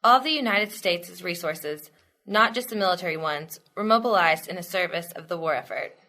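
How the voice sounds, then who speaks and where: A woman's voice: fairly low pitch, moderate pace, bright, forceful tone, slight background noise. A woman reading scripted documentary narration as the narrator of an amateur radio production.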